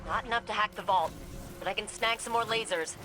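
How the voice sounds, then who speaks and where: A plucky young woman voiced by an actress, a game's tech whiz and pilot with an ordinary mid pitch. She talks breezily and informally, with music clearly playing behind her. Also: a little fast, natural voice. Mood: focused.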